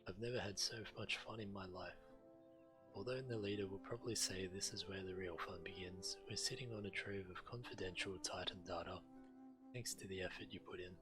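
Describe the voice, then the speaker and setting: Male explorer companion actor, low, hearty and easygoing, fantasy game dialogue over music. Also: steady tone, brisk, close to an everyday voice. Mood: pleased. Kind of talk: video game voice acting.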